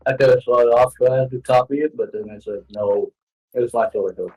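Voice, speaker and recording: A man answering casually as an interview guest, halting and repeating short phrases as he goes. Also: medium pitch, fairly even intonation, a little mellow, breathy edge, rough recording. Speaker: interview guest, web series creator. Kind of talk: casual chat. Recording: music playing behind. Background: music.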